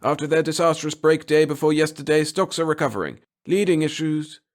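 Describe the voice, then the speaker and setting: A man voicing a gritty, croaking 1930s radio newscaster, declaiming clipped news bulletins. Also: on the high side, brisk, voice pushed up, lightly acted. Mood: urgent announcing. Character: gritty old-fashioned radio newscaster barking flash bulletins.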